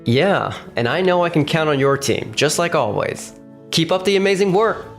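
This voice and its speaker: A man's voice: on the high side, lively intonation. A man voicing a level-headed, cautious young company boss who also narrates a manga dub. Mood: friendly. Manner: measured, composed. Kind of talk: manga dub dialogue and first-person narration. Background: faint background music.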